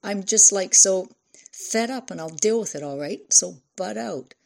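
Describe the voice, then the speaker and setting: A woman's voice: lowish voice, animated, moderate pace, a little mellow. A woman voicing a bored, defiant teenage girl in an audiobook, slangy and snappy. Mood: angry, fed up.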